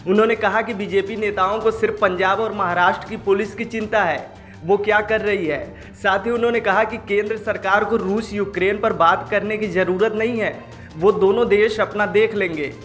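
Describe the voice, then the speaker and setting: A man's voice: sits high, moderate pace, fairly bright tone. A man reading scripted news narration as a news presenter. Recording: music behind the voice. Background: background music.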